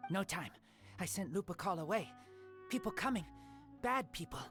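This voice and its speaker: An actress voicing a guarded, earnest young wolf boy in a video game, in terse, halting broken sentences. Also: lowish voice, expressive, fairly bright tone, soft background music. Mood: urgent. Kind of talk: video game character dialogue.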